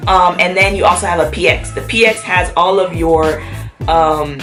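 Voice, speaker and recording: A woman's voice: on the low side, fairly even intonation, normal speed, a bit bright, soft background music. A woman vlogger talking casually to camera, chatty with many fillers, sick with a cough.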